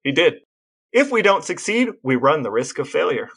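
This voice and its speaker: A man's voice: a little high, sing-song, moderate pace, vocal fry, fairly bright tone, slightly rough. A man co-hosting a podcast in casual chat, reading out quotes and bits of trivia. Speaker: podcast co-host.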